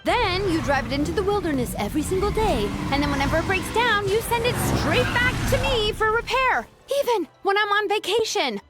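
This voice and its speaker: A woman's voice: very high voice, very animated, brisk, hardly any pauses, over music. A woman voicing an exasperated, blunt young craftswoman in a video game, scolding with emphasis.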